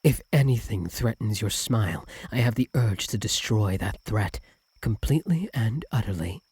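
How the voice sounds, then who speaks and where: A man voicing a doting, possessive fox-spirit husband in an intimate ASMR roleplay, soft and breathy, often slipping into whispers, tender and clingy. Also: on the low side, lively intonation, moderate pace, no room sound. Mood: obsessive, menacing. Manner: tender, clingy, hesitant, lingering on words.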